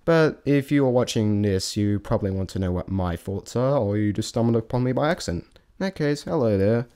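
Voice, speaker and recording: A man giving a casual, unscripted review in a halting, rambling way, often correcting himself mid-thought. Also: fairly low pitch, lively intonation, warm tone, clear, resonant tone. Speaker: vlogger reviewing a stage show.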